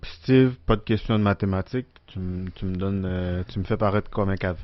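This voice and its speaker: A man's voice: low-pitched, moderate pace, muffled recording. A man with a Québécois French accent, co-hosting a casual podcast, joking and talking back with slang. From Quebec. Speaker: podcast co-host. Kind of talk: casual chat.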